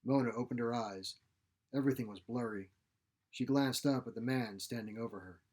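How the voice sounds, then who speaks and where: Guy reading his own short story out loud, medium pitch, expressive narrator style. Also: moderate pace.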